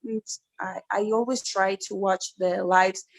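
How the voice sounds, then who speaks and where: A woman's voice: medium pitch, steady tone, a little slow, gravelly. A woman in her thirties whose first language is Spanish, speaking English as a guest on a casual livestream, earnest and effusive. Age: 30s. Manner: earnest and effusive, with frequent laughs. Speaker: guest, fellow YouTuber.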